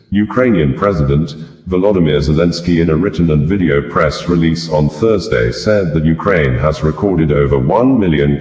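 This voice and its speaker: Very deep-voiced man reading news. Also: darkish tone. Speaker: news reader. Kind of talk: scripted news narration. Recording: echoey, reverberant room sound.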